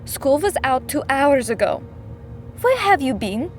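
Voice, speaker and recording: Mother role: higher voice, measured, formal, patient yet firm; audio drama, faint noise behind. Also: big pitch swings. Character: patient, reasoning wife and mother who stands firm. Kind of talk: scripted audio drama acting.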